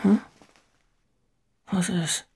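A man's voice: extremely slow, darkish tone. An actor playing a smitten, sober-minded city man in an intimate audio drama. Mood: tender, hushed.

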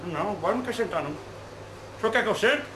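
A man's voice: sits high, expressive, fast talker. A Brazilian man playing a folksy, teasing male spirit guide from Bahia, speaking colloquially and repeating short phrases for emphasis in an informal spiritual dialogue. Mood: chatty, proud. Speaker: spirit medium answering questions. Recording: roomy, echoing, clearly noisy amateur recording.

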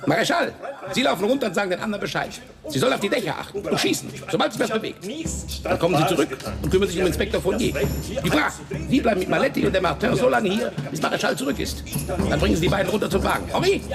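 An actor playing a commanding police chief in a radio crime drama, giving curt, authoritative orders. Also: male voice, high-pitched, animated, rapid-fire, long reverb tail. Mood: urgent, commanding. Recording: loud music behind the voice.

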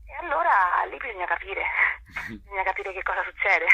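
A woman's voice: medium pitch, animated, moderate pace, gravelly, bright, forceful tone, low-quality recording. A woman, an actress explaining her ideas reflectively as a radio interview guest, often posing rhetorical questions.

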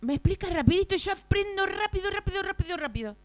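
A woman's voice: very high-pitched, lively intonation, quick pace, no echo. A woman with an Argentine accent playing a naive, pushy, flustered new office clerk who repeats herself and stammers, in a radio play. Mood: eager, insistent. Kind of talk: improvised radio play acting.

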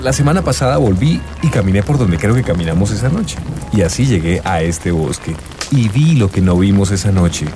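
A man's voice: fairly low pitch, animated, brisk, music in the background, natural voice. An actor playing an earnest young man in love, searching and telling his story in a radio drama. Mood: wistful, earnest.

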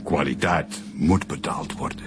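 A man's voice: very deep voice, a little slow. A male actor playing a disdainful music teacher under questioning, speaking in emphatic, scornful phrases in a radio crime drama.

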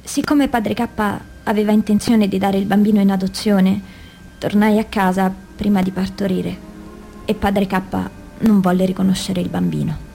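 Actress playing woman survivor giving testimony, radio play, grave and measured, music underneath. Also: mid-range voice, little pitch movement, vocal fry, mellow, natural voice. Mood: grave, sorrowful. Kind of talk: scripted radio theatre testimony.

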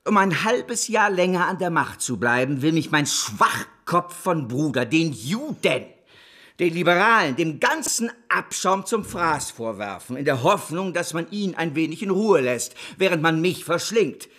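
An actor in a historical German radio drama playing a ruthless, combative French banker, blustering and scornful. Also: male voice, fairly high pitch, sing-song, measured pace, a bit bright, exaggerated voice. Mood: furious, scornful.

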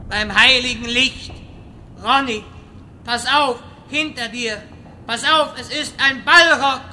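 Man playing a slow-talking, bright-voiced wizard leader. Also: very high voice, a little hiss or hum, exaggerated voice. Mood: urgent. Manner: slow, deliberate, dry. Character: old wizard, wise, exasperated leader of misfit adventurers. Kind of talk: fantasy parody radio play acting.

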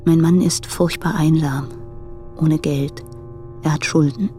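An actress playing an anguished married woman in a radio play, speaking hesitantly in a hushed, breathy voice. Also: low-pitched, fairly even intonation, takes it slowly. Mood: troubled. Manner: hesitant, subdued. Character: anguished married woman torn between husband and lover. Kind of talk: intimate German radio play monologue. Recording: close dry studio, music behind.

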